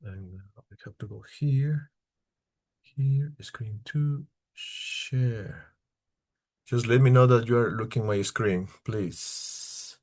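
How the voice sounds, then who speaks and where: A man from Ecuador with an Ecuadorian Spanish accent, giving an informal online slide talk in halting non-native English as a tour leader. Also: mid-range voice, a little mellow. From far south Ecuador. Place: indoors on a video call.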